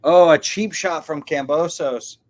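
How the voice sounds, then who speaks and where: A man co-hosting a casual watch-along, calling the fight's action in a relaxed way and chuckling as he goes. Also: a little high, a little slow. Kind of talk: casual play-by-play sports chat.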